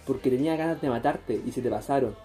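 A man's voice: mid-range voice, fast talker, mellow, natural voice. Young guy playing needy boastful chilean teen boy in a radio play, mid voice, faint music. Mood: hesitant. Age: young adult. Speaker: student performer. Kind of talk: radio theatre acting.

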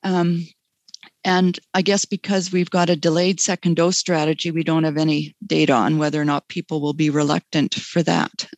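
A woman talking as a guest in a webinar conversation, relaxed and chatty, laughing often. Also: low voice, normal speed, dry recording. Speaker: guest speaker.

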